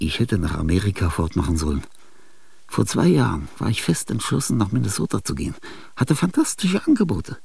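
An actor in a radio drama playing an ailing, aging male genius, restless and grandiose. He speaks confidingly in a husky, gravelly voice, with sudden outbursts and whispers. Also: on the low side, sing-song, fairly quick, darkish tone, heavily acted. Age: older. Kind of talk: theatrical radio drama monologue.

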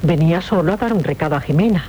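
A female actor's royal princess from a 1970s radio drama, haughty and full of teasing irony. Her voice sits fairly low and delivers lines with theatrical mischief. Faint noise and rumble sit behind it. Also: fast talker, warm tone, dull audio, natural voice. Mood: haughty, amused.

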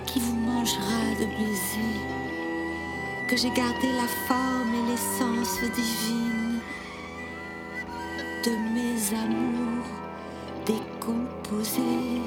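A languid reciter of macabre love verse in a radio play. Her voice sits fairly high and moves very slowly, measured and declamatory, with loud music all around it. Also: little pitch movement. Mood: languid, morbid. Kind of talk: poetry recitation in radio drama.

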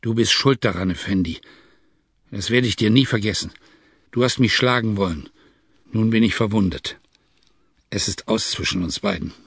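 A man's voice: lowish voice, moderate pace, pauses often, fairly bright tone, breathy edge, no room sound. A man reading aloud and voicing a proud, threatening desert warrior in an adventure story. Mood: bitter. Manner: bitter, threatening.

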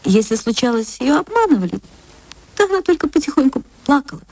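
A woman reading a short story for radio as an actress, in expressive literary narration. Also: high-pitched, a little fast, no echo. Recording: music under the voice.

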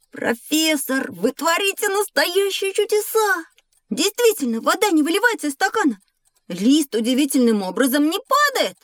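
Curious schoolboy, high pitch, eager expressive delivery, dry studio sound, educational audio play. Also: female voice, unhurried, no room sound. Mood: amazed. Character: inquisitive young boy, eager pupil of a professor. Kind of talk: scripted educational audio drama.

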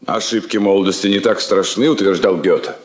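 An actor playing a wry, philosophical embassy counsellor, dry and deliberately rhetorical, in stage-style radio drama. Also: male voice, low-pitched, close to an everyday voice. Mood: earnest, wry. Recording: echoey old studio drama recording.

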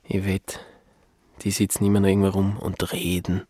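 An actor playing a down-to-earth, laconic Alpine nature lad in a radio play, speaking colloquial Austrian dialect. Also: male voice, deep voice, monotone, normal speed, mellow, husky, no room sound, clean studio sound. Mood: dry, relaxed.

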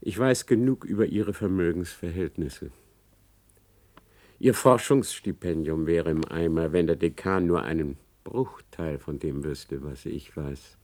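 Radio drama: arrogant, vindictive male professor, low register, biting and emphatic delivery. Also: a little slow, darkish tone. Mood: coldly threatening. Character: spiteful, scheming male academic, arrogant and vindictive. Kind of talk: German radio play acting.

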